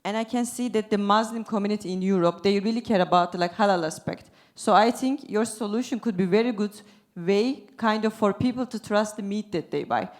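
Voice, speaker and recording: A woman's voice: mid-range voice, brisk. Woman moderating a panel. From Turkey. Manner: casual, many "like" fillers. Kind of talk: panel discussion.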